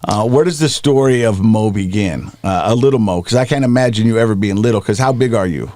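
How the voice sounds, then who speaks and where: A man's voice: fairly low pitch, a little fast, dark tone, no echo. A man hosting a podcast in a radio-style way, upbeat, asking short questions and joking with his guest. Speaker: podcast host. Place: podcast studio. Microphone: studio mic.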